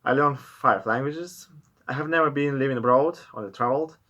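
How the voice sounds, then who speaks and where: A man with a Russian accent and Russian as his first language, talking to camera in careful, halting English full of pauses. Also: mid-range voice, sharp tone. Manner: careful, halting non-native English with many filler pauses. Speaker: vlogger. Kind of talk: casual talk to camera.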